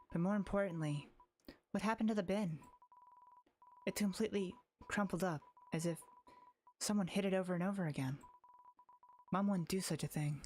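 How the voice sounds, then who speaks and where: A woman's voice: lowish voice, darkish tone, a little hiss or hum, natural voice. A woman voicing an anxious, lonely young girl in a visual novel, in an earnest, wistful inner monologue. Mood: uneasy. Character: anxious, lonely young girl narrating her haunted thoughts. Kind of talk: visual novel read-aloud. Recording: music behind the voice.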